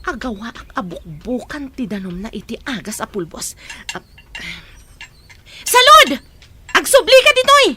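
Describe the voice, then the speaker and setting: A woman's voice: high voice, very animated, unhurried, pushed and bright, slight background noise, exaggerated voice. A woman playing a harsh, domineering mistress of a big house, snapping and scolding imperiously in an audio drama. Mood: angry, bossy. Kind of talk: Ilocano radio-style drama.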